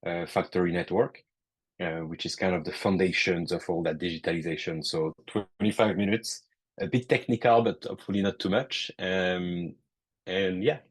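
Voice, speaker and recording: A man with a French accent giving a technical webinar presentation over a call, halting often with fillers and restarts. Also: sits low, husky. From France. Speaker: guest presenter.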